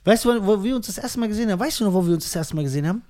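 Lively high-voiced man telling comedy stories. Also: racing. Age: 30s. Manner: animated storytelling with bursts of laughter. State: sore throat. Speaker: guest comedian. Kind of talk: casual podcast banter.

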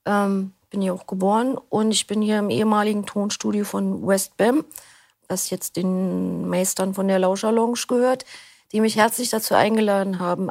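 Interview guest: middle-aged woman, gravelly, loose and chatty, dry studio mic. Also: medium pitch, darkish tone, dry recording. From Berlin, Germany. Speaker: interview guest, audiobook reader. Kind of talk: casual chat. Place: recording studio.

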